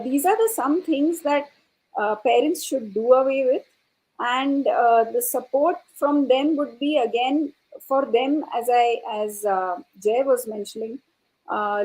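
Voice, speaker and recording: A woman speaking as an educator on a panel, explaining her views in a halting, thoughtful way and often repeating words. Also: fairly high pitch, darkish tone, rough recording. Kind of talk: panel discussion answer, explanatory.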